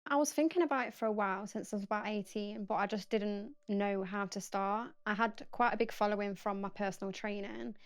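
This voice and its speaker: A woman's voice: mid-range voice, fairly quick, few pauses. A woman, telling her story steadily. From Nottingham. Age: 20s.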